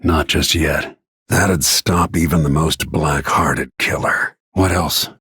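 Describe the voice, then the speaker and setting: A man voicing a grim, middle-aged assassin and protective father in a video game, gravelly and husky, with terse, brooding, controlled delivery. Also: very deep voice, steady tone, slow, bright, forceful tone, no echo, studio quality, heavily acted.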